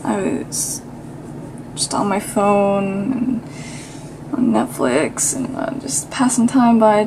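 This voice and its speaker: A tired woman chatting casually to her vlog viewers. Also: medium pitch. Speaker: vlogger. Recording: clear car and street noise.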